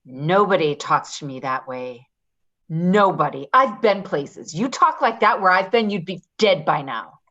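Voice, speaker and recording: A woman's voice: on the low side, animated, unhurried, a bit bright, lo-fi sound. A woman acting a tough, wheedling, manipulative caregiver in a play reading, pushy and slangy. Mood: menacing.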